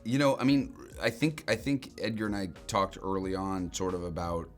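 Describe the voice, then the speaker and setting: Laid-back, deep-voiced actor. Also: male voice, steady tone, moderate pace, soft background music. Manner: laid-back, loose slangy talk with lots of fillers. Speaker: lead actor. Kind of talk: casual interview answers.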